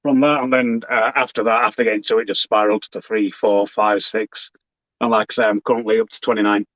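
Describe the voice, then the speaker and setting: A man talks about his hobby in a plain, halting manner, with his pitch in a middle range for a man. His voice comes through a dull, lo-fi call line and sounds dry, with no room echo. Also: little pitch movement, a bit bright. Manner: halting, plainspoken, many fillers. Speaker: professional wrestler guest. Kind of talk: casual interview chat.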